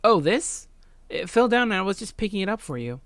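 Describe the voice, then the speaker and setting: A woman's voice: medium pitch, very animated, fairly quick, no room sound, voice pushed up, close to an everyday voice. A woman voicing an awkward, guilt-ridden teenage schoolboy in a visual novel, speaking hesitantly. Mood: flustered. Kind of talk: visual novel character dialogue.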